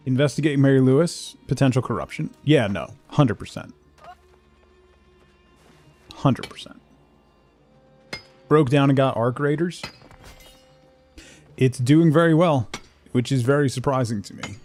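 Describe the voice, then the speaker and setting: A man's voice: medium pitch, measured pace, pauses often, darkish tone. Laid-back male game streamer, faint music. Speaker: game livestreamer. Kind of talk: casual gaming commentary, chatting with viewers.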